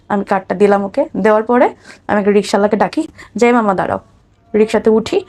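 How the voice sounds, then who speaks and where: A woman's voice: fairly high pitch, a little mellow. A woman with a Bangladeshi accent telling her own life story conversationally as an interview guest, acting out what people said.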